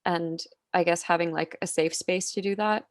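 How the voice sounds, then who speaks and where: A woman in her twenties from Finland chats on a video call, her voice fairly low and the sound dry and close. She speaks softly, giggles often, and fills her sentences with "like". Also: fairly even intonation, moderate pace, no room sound. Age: 20s. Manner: soft-spoken, giggly, many "like" fillers. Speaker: podcast guest. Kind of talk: casual interview chat.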